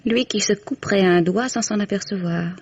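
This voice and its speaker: Young mistress, composed, candid, formal diction, 1950s radio acting, muffled vintage sound. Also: female voice, medium pitch, moderate pace, slightly rough. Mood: fond, musing. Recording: dull, band-limited vintage radio recording.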